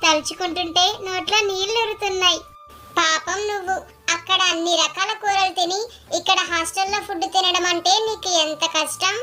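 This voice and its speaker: A tiny, very high-pitched schoolgirl from a cartoon story, chatty and competitive. The voice is piercingly bright and childlike, with music playing behind it. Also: fairly even intonation, moderate pace. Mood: chatty, nostalgic. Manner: childlike, gossipy. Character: chatty, competitive hostel schoolgirls, scheming friends. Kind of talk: acted cartoon story dialogue.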